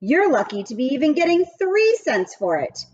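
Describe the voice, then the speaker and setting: A woman's voice: high voice, big pitch swings, normal speed, a little mellow, muffled recording, voice pushed up. A woman reading a children's storybook, voicing a sly male schoolyard teacher who coaxes and bargains. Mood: dismissive bargaining. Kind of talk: children's storybook read-aloud.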